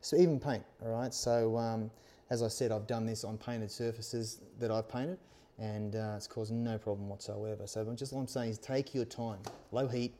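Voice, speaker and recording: A man's voice: fairly low pitch, steady tone, normal speed, dark tone, a little hiss or hum. A man, talking through a practical demonstration in a casual, reassuring way and often checking in with short questions. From Australia. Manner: informal, reassuring, often checks with "okay?" and "all right?". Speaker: instructor, helmet accessory maker. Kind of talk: casual hands-on tutorial. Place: small workshop studio indoors, seated at workbench.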